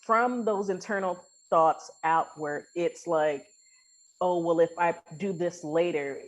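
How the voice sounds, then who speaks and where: A woman podcast host with a slightly low voice. She talks casually in an affirming, explanatory manner, as if working through advice with a guest. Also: slow pace, rough recording. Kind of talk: casual interview conversation.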